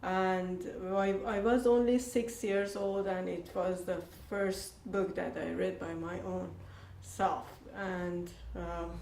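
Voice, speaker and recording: Woman from iran telling her story really slowly, lots of uhs, sounds far off in a roomy space. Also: medium pitch, darkish tone. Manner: hesitant, with many pauses and fillers. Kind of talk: personal story told to a group. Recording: roomy, distant with faint noise.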